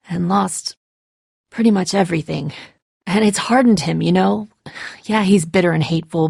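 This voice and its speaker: A woman's voice: on the low side, gravelly, a little mellow, husky, dry recording, clean studio sound, heavily acted. A woman playing a tough, bitter, defensive apocalypse survivor in a dramatic video game, speaking in a terse, confrontational way. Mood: earnest, defensive.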